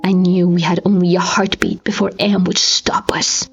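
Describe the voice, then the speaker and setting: A woman's voice: fairly low pitch, fairly even intonation, vocal fry, slightly rough, highs cut off, soft background music. A woman giving a very dramatic, theatrical reading of a horror story. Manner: theatrical, slow, with whispers and anguished outbursts. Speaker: amateur audiobook narrator.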